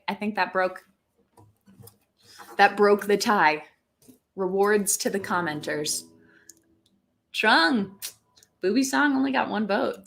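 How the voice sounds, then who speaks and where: A woman's voice: mid-range voice, vocal fry. A woman singer-songwriter hosting a livestream, chatting casually with viewers between songs and reading out their comments and votes. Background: loud guitar playing.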